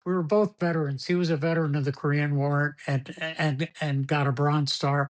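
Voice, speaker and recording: A man's voice: fairly high pitch, steady tone, normal speed. An older man telling long stories in English, his second language after Spanish. He rambles, repeats himself and laughs partway through his anecdotes. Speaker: guest. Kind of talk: storytelling interview.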